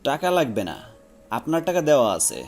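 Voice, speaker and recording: A man's voice: mid-range voice, sing-song, normal speed, soft background music. A man playing a plain-spoken street snack seller in an audio story. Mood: matter-of-fact.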